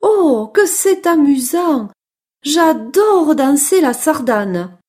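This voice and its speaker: Woman voicing an eager, high-pitched little girl mouse. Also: lively intonation, takes it slowly, slight background noise. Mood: delighted. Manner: exclamatory, sing-song, wide-eyed. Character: sweet, polite, eager little female mouse seeking a husband.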